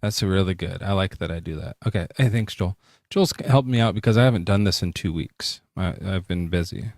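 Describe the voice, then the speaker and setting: A low-pitched man hosts a casual late-night debate stream, cracking jokes and often tripping over his own sentences. His voice sounds dry and close to the mic. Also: a little mellow, dry recording. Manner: joking, halting, many restarts. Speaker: debate show host.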